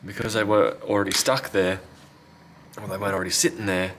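A man with a fairly low voice talks casually through hands-on repair work. His delivery is unscripted and half to himself, like a technician narrating at the bench. Background noise is clearly audible behind him. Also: fairly quick, fairly bright tone. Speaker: phone repair technician and video host. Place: indoor repair workbench.

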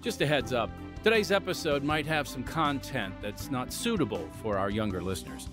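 A man's voice: mid-range voice, lively intonation, moderate pace, over music. A man hosting and narrating a drama podcast with a polished, scripted presenter delivery.

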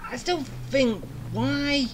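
A woman's voice: sits high, takes it slowly, background noise. A woman giving casual commentary as the host of a game playthrough.

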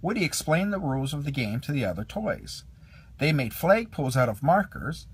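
Husky man reading a storybook. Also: fairly high pitch, big pitch swings, moderate pace. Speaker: storybook reader. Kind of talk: expressive children's read-along narration.

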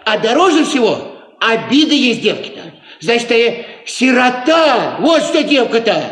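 An actor playing a pious, simple old peasant father who talks haltingly and repeats filler words, in a Soviet radio play. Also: very high-pitched, big pitch swings, a bit bright, full character voice. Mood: agitated, pleading.

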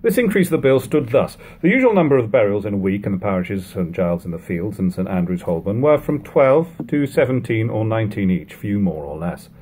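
A man in his thirties giving a measured, even reading of old prose at home on a webcam. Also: fairly low pitch, breathy edge. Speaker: reader of a classic book. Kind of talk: unhurried audiobook reading. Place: living room at home.

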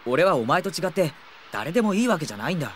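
A blunt, casual teenage boy in an audio drama. He is expressive and wisecracking, with a lively, swinging pitch. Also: fast, slight background noise. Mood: earnest. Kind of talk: Japanese audio drama acting.

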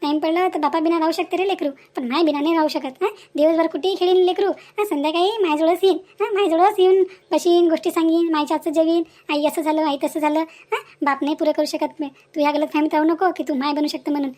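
A woman's voice: extremely high pitch, fairly even intonation, fast, few pauses, a little mellow. A woman voicing a pleading, scolding motherly village neighbour in a cartoon drama, insistent and repetitive, with a rural Maharashtrian Vidarbha accent. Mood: admonishing. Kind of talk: Marathi cartoon moral drama dialogue.

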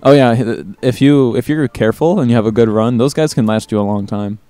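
A man's voice: medium pitch, brisk, dark tone, smooth, no room sound. A man co-hosting a casual gameplay chat, playful and full of puns and giggles. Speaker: co-host. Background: game music.

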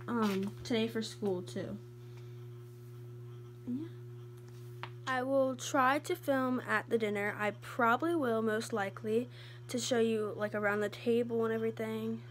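A woman's voice: on the high side, a little hiss or hum. A teenage girl chatting casually into her phone at home, sounding congested and sniffly, rambling with lots of fillers. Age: teens. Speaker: vlogger. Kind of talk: casual vlog chat. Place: home rooms and bedroom. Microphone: phone held at arm's length.

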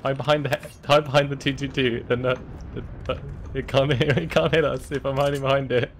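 A man's voice: mid-range voice, steady tone, brisk, slight background noise. A young man chatting casually as a co-player in an online game. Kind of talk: casual gaming chat.

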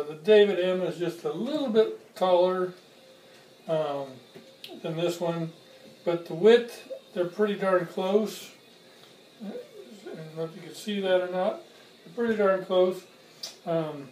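A man in his sixties with a fairly high-pitched voice talks through a casual hands-on review. He pauses between short, plain-spoken phrases. He is recorded a little away from the mic in an echoey kitchen, with faint noise. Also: a little mellow. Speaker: hobbyist video reviewer. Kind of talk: casual product comparison, unscripted. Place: home kitchen, indoors. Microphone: camera mic a few feet away. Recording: distant mic, roomy echo, faint noise.

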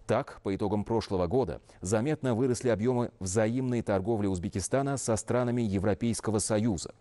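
A man in his thirties reading scripted news as an anchor in a formal, measured, official manner. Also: fairly low pitch, dark tone. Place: television news studio.

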